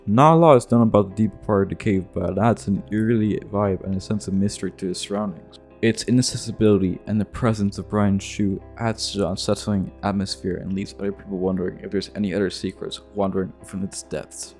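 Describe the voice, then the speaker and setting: A man's voice: on the low side, fairly even intonation, brisk, hardly any pauses, a little mellow. A man narrating casual, scripted video game commentary in an offhand, irreverent way. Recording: music behind the voice. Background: guitar music.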